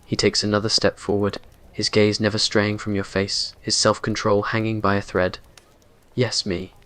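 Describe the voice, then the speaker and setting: A man's voice: fairly low pitch, monotone, moderate pace, fairly bright tone. A man reading scripted romantic roleplay narration in an ASMR story style.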